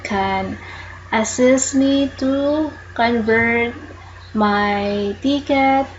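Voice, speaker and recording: A woman with a Filipino accent walking viewers through an online process, explaining slowly and patiently while mixing English into Tagalog. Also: a little high, muffled recording, a little hiss or hum. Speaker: tutorial host. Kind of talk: casual screen-recorded walkthrough.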